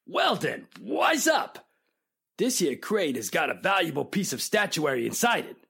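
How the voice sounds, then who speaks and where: Gravelly, gruff delivery tough played by a man. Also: on the high side, huge pitch swings, normal speed, bright, forceful tone, heavily acted. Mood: pushy. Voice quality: raspy, gravelly. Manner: brusque street-tough slang. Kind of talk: 1940s radio drama acting.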